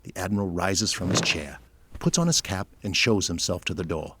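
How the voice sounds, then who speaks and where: A man's voice: low voice, normal speed, noisy background. A man narrating a scripted audio drama, reading out the action as a storyteller. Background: music.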